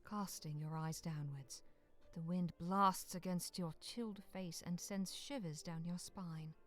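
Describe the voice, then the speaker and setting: A woman reading scripted dramatic story narration in a measured, solemn, sometimes hushed way. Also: lowish voice, soft background music. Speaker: narrator of a visual novel.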